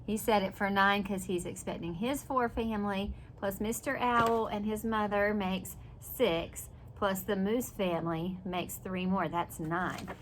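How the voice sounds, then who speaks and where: A woman reading a children's picture book aloud as a preschool teacher, animated, with asides and questions to young listeners. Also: mid-range voice, a little slow, hardly any pauses, slight background noise.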